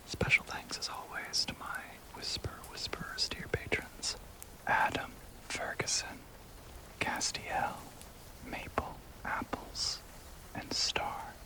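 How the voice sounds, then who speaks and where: A man's voice: slow, low-quality recording. Whispering man reading a sign-off. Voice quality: breathy, whispered. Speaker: audio roleplay creator giving closing credits. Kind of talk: scripted whispered sign-off to listeners.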